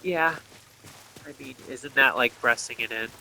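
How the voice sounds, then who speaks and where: A man's voice: mid-range voice, a little slow, pushed and bright, slight background noise, low-quality recording. A man giving casual, off-the-cuff gameplay commentary, thinking aloud and muttering to himself as he plays. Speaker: gameplay streamer. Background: faint game music.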